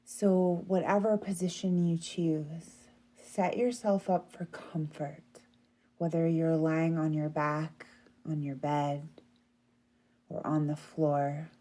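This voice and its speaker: Woman, meditation guide, deep female register, very slow pace, soothing, gentle delivery. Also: steady tone. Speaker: yoga nidra meditation guide.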